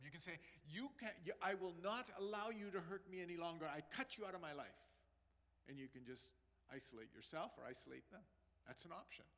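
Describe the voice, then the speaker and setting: Pakistani-born pastor preaching in a reverberant hall. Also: male voice, a little high, a bit bright. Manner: earnest, conversational preaching with asides to the congregation. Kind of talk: sermon.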